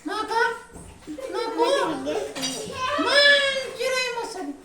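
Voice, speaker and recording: A woman voicing a shy, cheeky little child puppet who stalls and repeats phrases haltingly in a live children's show. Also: very high voice, animated, voice pushed up, exaggerated voice. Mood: eager, childlike. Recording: echoey noisy hall, distant mic, loud crowd.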